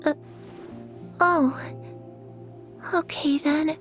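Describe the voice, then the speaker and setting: Very high-voiced, gentle teenage girl. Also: steady tone, drawn-out words, faint music behind. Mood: calm, curious. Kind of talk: video game voice acting.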